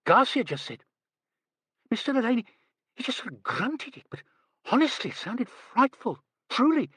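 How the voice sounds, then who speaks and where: A man voicing a nervous, gossipy young woman who squeaks, stammers and rushes her words. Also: big pitch swings, a bit bright, slightly rough, dry recording, full character voice. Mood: excited gossip. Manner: breathless, stammering, rushing.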